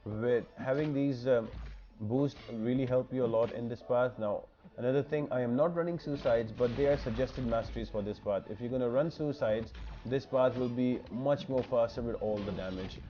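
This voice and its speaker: A man's voice: mid-range voice, highs cut off, slight background noise. A man giving informal walkthrough commentary for a mobile game, explaining things step by step in an instructional way. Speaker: gaming guide video host.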